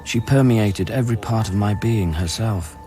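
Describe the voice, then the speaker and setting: A man's voice: lowish voice, moderate pace, music in the background, close to an everyday voice. An actor playing a brooding, guilt-ridden scientist in a radio drama, terse and subdued in his lines and narration. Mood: sombre, grieving. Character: anguished, guilt-ridden male scientist haunted by lost love. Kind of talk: radio drama acting with first-person narration.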